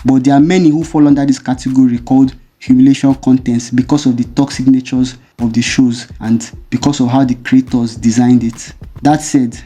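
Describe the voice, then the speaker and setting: A man hosting a commentary channel, reading a measured, explanatory script. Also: medium pitch, fairly even intonation, warm tone. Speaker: video essay host.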